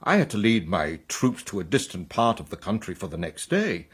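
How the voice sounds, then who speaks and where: An actor playing a sentimental, gallant old military Count with a husky voice in a period radio drama. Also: male voice, on the low side, big pitch swings, normal speed. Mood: troubled. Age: older.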